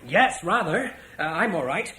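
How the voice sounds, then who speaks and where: An actor playing a breezy, clipped young warrior in a vintage radio drama. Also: male voice, high-pitched, lively intonation, measured pace, bright, forceful tone, exaggerated voice. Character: young British warrior, breezy, upper-class. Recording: roomy echo with faint hiss.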